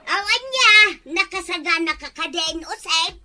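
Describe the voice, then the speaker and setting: A woman's voice: very high voice, expressive, unhurried, crowd noise. A woman with an Ilocano accent playing a showy, boastful village wife in a comic drama. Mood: proud.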